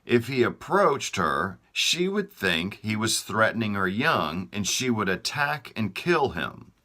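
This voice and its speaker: A man reading a children's storybook aloud, slow and expressive. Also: on the low side. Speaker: storybook reader.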